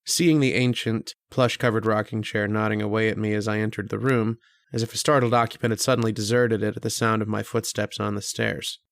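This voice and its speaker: An actor playing a polite, curious student lodger who tells a ghost tale in old-time radio horror style. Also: male voice, lowish voice, level tone, creaky, no echo, clean studio sound. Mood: brooding, reflective. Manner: hesitant in dialogue, brooding and measured in narration.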